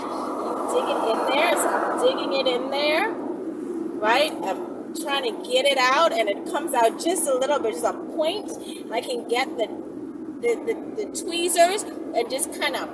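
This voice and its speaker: High-voiced woman chatting over loud noise. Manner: chatty, thinks aloud, often checks in with "right" and "you know". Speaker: online video host answering viewer questions. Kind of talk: casual, improvised question-and-answer talk to camera. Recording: distant mic, loud vehicle-like background noise.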